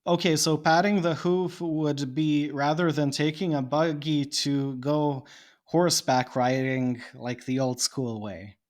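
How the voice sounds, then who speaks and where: A man's voice: fairly high pitch, steady tone, a little slow. Man with a Bosnian Serbian accent. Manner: musing, thinks aloud with laughs. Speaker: podcast guest. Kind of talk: casual chat.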